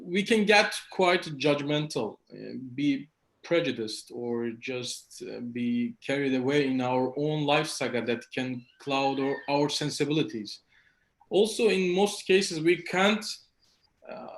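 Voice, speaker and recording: Male panelist summing up on a video call; thoughtful, measured, somewhat high voice, echoey room sound. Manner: measured, reflective, with repeated restarts. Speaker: panel participant. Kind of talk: concluding remarks at online panel. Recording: roomy echo on a call.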